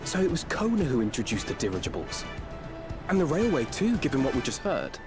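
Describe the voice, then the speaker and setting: A man's voice: medium pitch, wildly swooping pitch, brisk, over music. An actor playing an inquisitive young male scholar in a game. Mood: curious. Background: game soundtrack.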